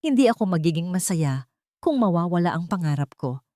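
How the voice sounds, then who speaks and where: A gentle, resolute young wife voiced by a woman storyteller speaks in a fairly low, expressive voice. It is a dry recording of a romantic audio drama. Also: brisk, no room sound. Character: determined, soft-spoken young wife and mother.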